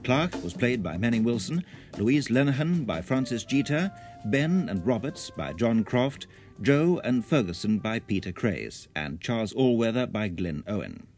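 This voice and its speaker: A man's voice: medium pitch, sing-song, measured pace, a little mellow. A man reading a scripted credits announcement as a radio drama's continuity announcer. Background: music.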